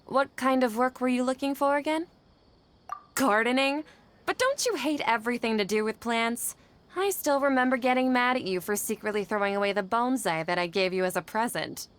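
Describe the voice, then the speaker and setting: A woman's voice: fairly high pitch, moderate pace, a bit bright, lightly acted. A woman playing an earnest, dutiful young female scholar in a video game, hesitant when pressed. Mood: concerned.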